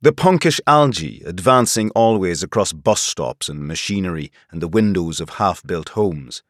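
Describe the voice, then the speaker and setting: Low-voiced male audiobook narrator, expressive range. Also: moderate pace, no echo, studio quality. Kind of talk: scripted literary nonfiction audiobook narration.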